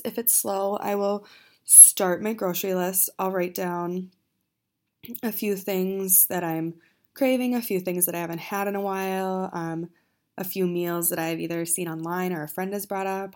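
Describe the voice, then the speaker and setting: A young woman hosts a casual solo podcast in a slightly low voice, a bit sniffly from allergies. She talks informally and without a script, wandering a little and correcting herself as she goes. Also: a little mellow. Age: young adult. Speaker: podcast host and student.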